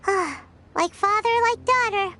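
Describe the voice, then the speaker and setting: A woman's voice: very high voice, a little slow, noisy background. A woman voicing a tiny, childlike fairy companion in a video game, chirpy and cheeky. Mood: frank, inquisitive. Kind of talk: video game character dialogue. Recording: music behind the voice.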